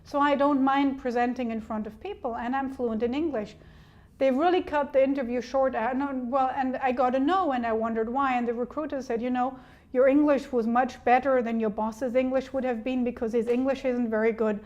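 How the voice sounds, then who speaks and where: A chatty woman speaks as an HR and recruiting guest in a relaxed interview. Her voice sits a little on the high side, and she talks quickly with frequent restarts. The audio is slightly dull and lo-fi. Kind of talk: casual interview conversation.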